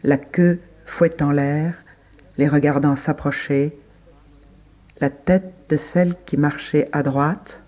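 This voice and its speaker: A man reciting literary prose for a radio play, measured, pausing between short phrases. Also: on the high side, steady tone, darkish tone. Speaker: reader.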